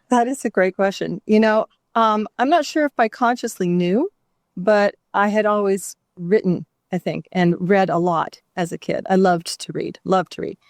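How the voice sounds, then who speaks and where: A middle-aged woman from New Mexico, a somatic therapist talking reflectively in an interview, with many pauses and ums. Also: medium pitch, no room sound, clean studio sound. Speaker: guest, somatic therapist and author. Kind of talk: candid interview conversation.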